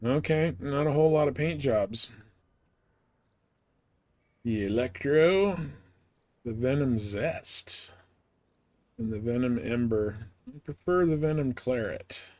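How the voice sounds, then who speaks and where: A man's voice: mid-range voice, slow pace, long pauses, a little mellow, dry recording. A man giving casual live commentary as he plays a game, thinking aloud as he goes. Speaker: game streamer.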